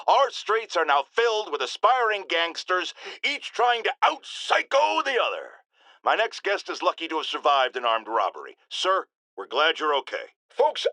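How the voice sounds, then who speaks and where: A bombastic older American radio host, high and forceful, with wildly swinging pitch. He rants theatrically in a video game, pompous, paranoid and forever indignant, stressing his words like proclamations. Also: no echo, heavily acted, low-quality recording. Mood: stern. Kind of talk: theatrical radio talk show rant.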